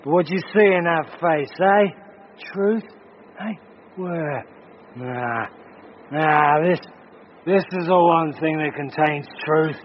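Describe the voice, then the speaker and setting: An actor playing a drunken vagrant philosopher in a radio drama, rambling, repetitive and cryptic, with chuckles. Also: male voice, on the high side, slow pace, pauses often. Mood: tipsy, cryptic. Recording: muffled radio recording, faint noise.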